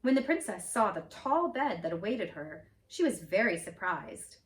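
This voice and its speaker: A woman in costume reading a fairy tale aloud in a gentle, expressive storytelling voice. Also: medium pitch, moderate pace. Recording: roomy echo, mic at a distance.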